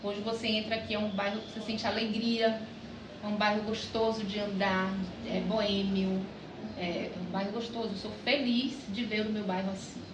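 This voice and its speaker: A woman's voice: mid-range voice, flat delivery, moderate pace, reverberant, distant mic, background noise. A woman, a longtime resident chatting and reminiscing in a documentary interview outdoors. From Salvador, Brazil. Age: 40s.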